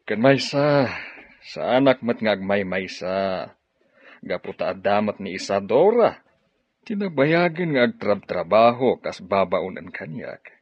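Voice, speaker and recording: A man playing a kindly older retired professor and bachelor uncle in a radio drama, with a gentle, expressive delivery. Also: on the low side, unhurried, husky, no echo, exaggerated voice. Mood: reflective. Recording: dull lo-fi audio, crowd murmur.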